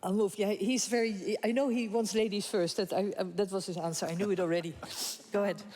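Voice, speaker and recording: A woman's voice: medium pitch, fairly even intonation, brisk, a little mellow. Woman, panel moderator, casual and chatty, echoing hall. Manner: chatty, informal, laughs easily. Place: conference room with audience. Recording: reverberant conference hall.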